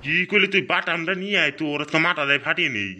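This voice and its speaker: A man's voice: a little high, very fast, background noise, close to an everyday voice. A man voicing an angry, menacing old schoolteacher in a comedy cartoon, scolding in a rural Bangladeshi Bengali accent. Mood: threatening. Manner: scolding, threatening.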